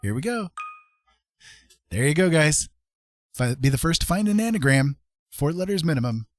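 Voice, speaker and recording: A man's voice: a little high, very animated, normal speed, no room sound, clean studio sound. A man hosting a casual livestream, commenting on a game in an encouraging, jokey manner. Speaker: livestream host.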